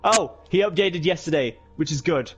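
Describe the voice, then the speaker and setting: A man's voice: fairly high pitch, lively intonation, moderate pace, fairly bright tone, muffled recording, faint music behind. A young man running a group game session, joking goofily and in a sing-song way with his friends. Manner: goofy, sing-song, jokey. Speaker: gamer and group organizer. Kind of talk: casual gaming banter.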